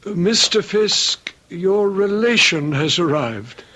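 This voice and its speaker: An actor playing a mild-mannered, proper manservant in a radio drama, polite, tactful and a little hesitant. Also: male voice, high voice, animated, slow pace, heavy background noise. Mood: discreet, apologetic. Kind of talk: old-time radio drama acting. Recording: dull lo-fi radio drama recording.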